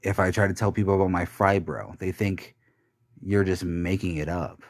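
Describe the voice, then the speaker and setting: A young man with a low, deep voice talks alone into the mic in a bitter, rambling confessional. He swears often and stumbles through restarts and fillers. The recording is dry and close, with no room sound. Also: steady tone, no echo. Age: young adult. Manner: halting, with many restarts and fillers. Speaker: podcaster. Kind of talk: confessional monologue.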